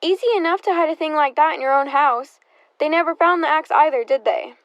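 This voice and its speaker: A prying, sardonic interrogator from a radio drama, acted by a woman. The voice is high, with wry, pointed questions full of insinuation. The recording is dry and slightly dull. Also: fairly quick, dry recording. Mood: insinuating. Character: nosy, sardonic, probing interrogator of a murder suspect's sister. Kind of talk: theatrical radio drama acting.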